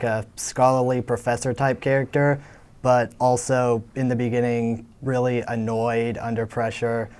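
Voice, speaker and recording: A man's voice: medium pitch, a little mellow, clear, resonant tone. A young man, a student actor, chatting casually in a studio interview. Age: teens to young adult.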